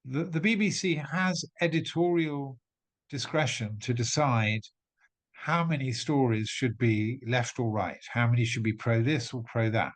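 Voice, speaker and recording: A middle-aged man from England addresses an audience with an emphatic, point-by-point argument. His pitch sits in an ordinary middle register, and he often restarts phrases as he presses each point. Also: measured pace. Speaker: guest speaker. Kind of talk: talk to an audience.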